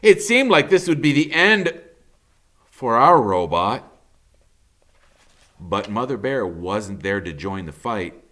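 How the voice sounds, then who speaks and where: Slow, animated man narrating a storybook. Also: lowish voice. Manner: theatrical, emphatic, with dramatic pauses. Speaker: teacher reading a children's novel aloud.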